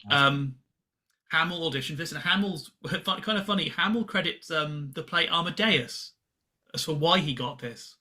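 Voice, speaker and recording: A man's voice: on the high side, moderate pace, pushed and bright. A man co-hosting a podcast, giving casual explanatory commentary and speaking directly to listeners. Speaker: podcast co-host.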